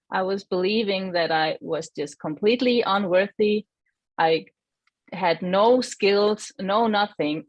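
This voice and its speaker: A woman from Iceland, giving a gentle, reflective personal testimony as a guest and often searching for her words. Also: medium pitch, dry recording.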